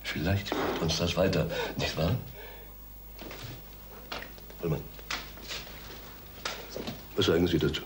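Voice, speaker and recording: A man playing a bumbling, polite police inspector, halting and fussy, in an audio drama. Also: low voice. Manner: halting, fussy, repeats tag questions. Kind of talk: German audio drama acting. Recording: echoey room with background noise.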